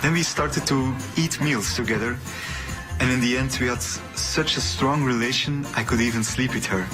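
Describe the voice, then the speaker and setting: A man's voice: mid-range voice, normal speed, poor audio. A man introducing himself in a recorded narration as a magician. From Belgium. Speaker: magician and animal trainer. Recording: loud music under voice. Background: loud music.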